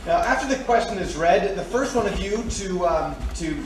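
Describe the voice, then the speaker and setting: A man's voice: high voice, lively intonation, moderate pace. A man hosting a live radio theatre show before an audience, speaking in old-time announcer patter. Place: stage before a studio audience. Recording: roomy, distant live stage recording, heavy noise.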